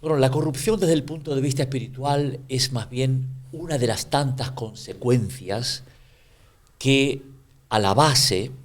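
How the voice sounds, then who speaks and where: A man's voice: medium pitch, a little slow. A man co-hosting a podcast, agreeable and giving brief replies. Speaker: podcast co-host. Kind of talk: casual podcast chat.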